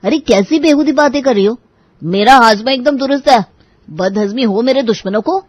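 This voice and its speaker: Woman voicing a high-voiced naive young husband. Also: normal speed, darkish tone, no echo, lightly acted. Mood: indignant. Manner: earnest, protesting. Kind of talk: Hindi family cartoon voice acting.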